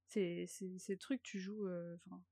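A woman co-hosting a casual podcast, chatty, often pausing to search for her words. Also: on the low side, fairly even intonation, creaky, dark tone, dry recording, studio quality. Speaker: podcast co-host.

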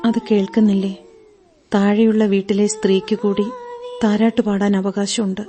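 An actress playing a hurt young wife in a radio drama, pleading to be understood, plaintive and guarded. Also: female voice, mid-range voice, little pitch movement, normal speed, dark tone, soft background music, close to an everyday voice. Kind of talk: Malayalam radio drama acting.

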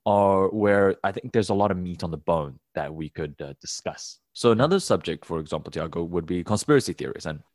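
A man's voice: deep voice, expressive, a little fast, few pauses, darkish tone, no room sound, clean studio sound. A young man co-hosting a casual podcast, talking in loose rambling sentences with fillers and restarts. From Taiwan. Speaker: podcast co-host.

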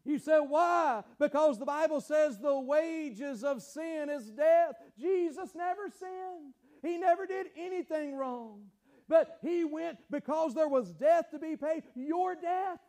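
A man preaching a fiery sermon, often breaking into shouts and stumbling over repeated words as he presses his point. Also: extremely high pitch, slow pace. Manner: impassioned, bursts into shouting, repeats phrases.

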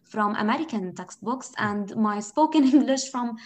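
A woman with a Lebanese accent, whose first language is Arabic, answers interview questions in a thoughtful, searching way. Her voice sits somewhat high, and she often circles back to rephrase a point before settling on it. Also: lively intonation, normal speed, a little mellow. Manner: reflective, thinks aloud, frequent "you know" and restarts. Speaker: interview guest. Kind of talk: conversational interview.

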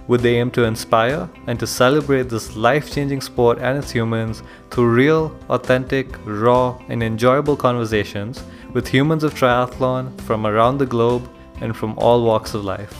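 A man's voice: medium pitch, steady tone, normal speed, few pauses, soft background music. A man hosting a podcast, opening the show with an upbeat scripted intro and then chatting with his guest. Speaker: podcast host.

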